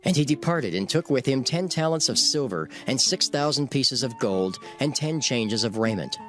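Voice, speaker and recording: Expressive male scripture narrator with soft music. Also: medium pitch. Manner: measured, solemn, storytelling. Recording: faint music behind the voice.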